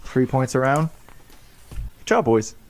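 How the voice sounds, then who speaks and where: A man's voice: medium pitch, lively intonation, measured pace, darkish tone. A man hosting a trivia game on a podcast, joking and often repeating his words as he reads questions. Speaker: quiz host.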